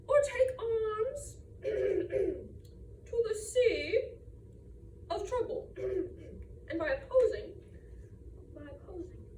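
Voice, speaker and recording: A woman puts on a pompous, fussy aunt calling children to order in a stage monologue. Her voice is high and halting, full of repetition and throat-clearing. The room echoes around her. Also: dark tone, full character voice. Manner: repeats herself, constantly clears throat, pompous. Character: fussy, officious club-president aunt addressing children. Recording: roomy, distant mic, faint noise.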